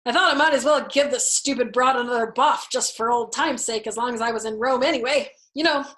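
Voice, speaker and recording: A woman's voice: on the high side, brisk, fairly bright tone, natural voice. A crude army captain who delights in taunting, played by a woman reading aloud. The voice is raised and emphatic, full of mocking cheer, as in a dramatized storybook. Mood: gloating, taunting.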